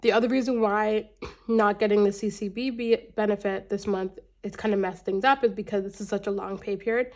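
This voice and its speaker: A woman's voice: medium pitch, steady tone, fairly quick, a little mellow. Congested chatty woman vlogger. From Canada. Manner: chatty, rambling, frequent restarts and ums. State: congested, slightly raspy from a cold. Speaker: budgeting vlogger. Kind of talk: casual chat to camera.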